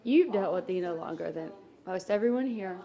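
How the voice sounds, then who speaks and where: A woman's voice: fairly low pitch, expressive, fairly quick, dull audio. A woman casually asking questions and chatting at a party. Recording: busy party crowd behind voice.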